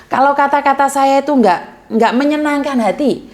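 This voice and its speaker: A fairly high-voiced woman talks on a call-in advice show. She is blunt and outspoken, with no softening of her words, and she mixes into her Indonesian as she talks to a caller. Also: moderate pace. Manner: blunt, outspoken, self-described coarse way of speaking. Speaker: host of a call-in advice channel. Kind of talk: live call-in chat. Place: Hong Kong.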